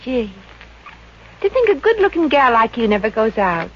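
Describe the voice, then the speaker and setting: A woman's voice: fairly high pitch, big pitch swings, moderate pace. A woman playing a confident, street-smart showgirl with a breezy, sassy, slangy delivery in 1950s radio comedy style. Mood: breezy, encouraging. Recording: dull, noisy old-time radio recording.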